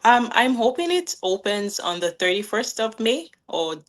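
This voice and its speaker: A woman in her thirties, originally from Nigeria, answering interview questions in a relaxed, friendly way with frequent "um"s and little laughs. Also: a little high, normal speed. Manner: friendly, laughs often, many "um"s and "like"s. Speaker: guest, new business owner.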